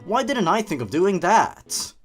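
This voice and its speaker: Sarcastic man reading aloud with swooping pitch. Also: big pitch swings, measured pace, slightly rough, soft background music. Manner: sarcastic, mocking. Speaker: host reading and commenting on online horror stories. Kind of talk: story reading with sarcastic asides.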